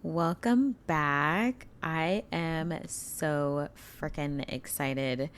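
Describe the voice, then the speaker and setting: A woman hosting her own podcast as a spiritual coach, talking casually and enthusiastically with a hoarse, froggy voice from allergies. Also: deep voice, drawn-out words. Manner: enthusiastic, chatty, with casual swearing. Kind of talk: casual solo podcast talk.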